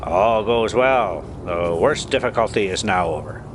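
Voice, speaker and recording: A man's voice: lowish voice, animated, a little slow, noisy background. Man reading as an eager, emphatic scholarly uncle. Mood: eager, confident. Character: eager, single-minded scholarly uncle in an adventure story.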